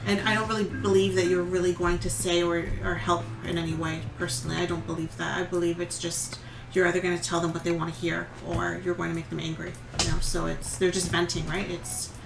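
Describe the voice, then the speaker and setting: Female co-host, conversational, mid pitch, echoey room, music bed. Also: level tone, brisk, creaky. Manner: conversational, restarts sentences. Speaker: co-host reading viewer questions. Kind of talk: casual chat and reading messages aloud.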